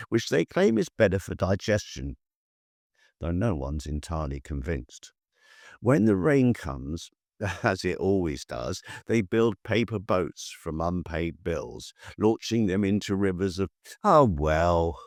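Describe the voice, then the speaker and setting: Male poet-narrator, low register, very lively intonation, deliberate phrasing with weighted pauses for a spoken-word podcast. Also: creaky, no echo, clean studio sound. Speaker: poet and podcast host reading own poem. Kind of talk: expressive spoken-word poetry reading.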